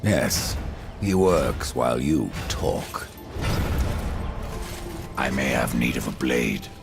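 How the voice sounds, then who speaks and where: An actor playing a grim, sardonic immortal horseman of death in a video game, with a deep gravelly voice and a dry, terse manner. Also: animated, takes it slowly, over music, heavily acted. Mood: cool, dry. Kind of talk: video game cutscene acting. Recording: game score behind the voice.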